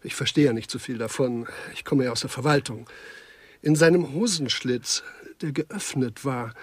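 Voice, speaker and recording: An actor in a German radio crime comedy, playing a genteel, fussy administrator who laments in a plaintive, repetitive way. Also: male voice, medium pitch, normal speed, breathy edge, dry recording, natural voice. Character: fussy, lamenting gentleman administrator, easily appalled.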